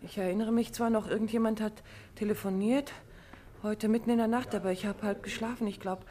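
An actress playing a detective's wife in a 1950s radio play, worried and probing, with a quick, searching manner. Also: female voice, medium pitch, steady tone, dark tone, close to an everyday voice. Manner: anxious, probing.

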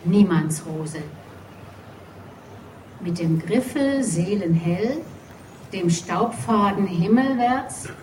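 A woman's voice: medium pitch, mellow, roomy sound, a little hiss or hum, low-quality recording. A woman reading poetry solemnly, captured in an amateur phone recording. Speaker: poetry reader at a literary evening. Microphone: mobile phone recording from the audience.

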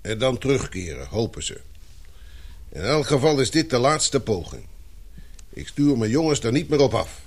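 Blunt, weary air commander. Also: male voice, medium pitch, big pitch swings, moderate pace, long pauses. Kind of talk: radio play dialogue.

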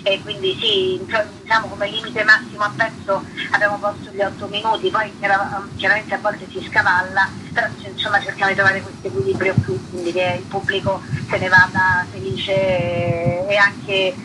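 A woman's voice: medium pitch, level tone, moderate pace, few pauses, background noise. A woman comedian speaking spontaneously as an interview guest, often searching for words as she explains. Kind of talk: spontaneous conversational radio interview.

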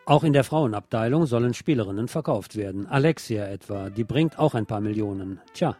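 A man's voice: fairly low pitch, expressive, a little fast, dark tone, no echo, faint music behind. A man presenting scripted radio news with wry asides.

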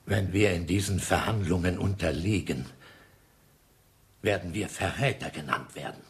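An actor in a German radio play, playing a grave, formal negotiator with a husky voice and measured delivery. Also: male voice, low voice.

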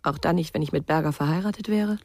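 Reserved, mellow-voiced woman. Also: deep voice, quick pace, no echo. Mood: dry, questioning. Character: calm, guarded woman worried for her nephew. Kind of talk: German radio crime drama acting.

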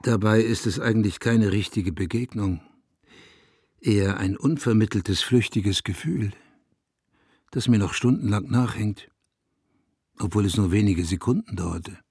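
An actor playing a brooding small-town man who tells his memories in a measured, reflective radio play monologue, with a husky, rough-edged voice. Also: fairly low pitch, a little mellow, dry recording, clean studio sound. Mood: brooding, haunted. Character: brooding small-town man recalling local gossip and the dead. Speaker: narrator. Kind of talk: literary radio play monologue, scripted narration.

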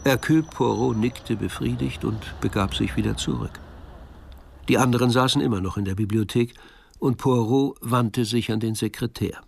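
A man's voice: mid-range voice, normal speed, gravelly, a little hiss or hum. A man narrating a radio play as a scripted storyteller.

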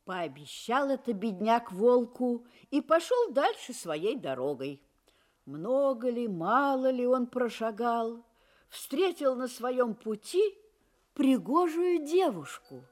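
A woman's voice: fairly high pitch, very animated, slow, a little mellow. A woman narrating a scripted fairy tale for children. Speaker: storyteller, narrator.

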